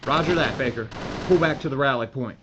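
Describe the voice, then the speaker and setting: An actor playing a commanding, skeptical American army major in a war horror audio drama, brusque and barking orders. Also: male voice, mid-range voice, fairly quick, a bit bright, natural voice. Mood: urgent command. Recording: dull lo-fi audio, faint noise.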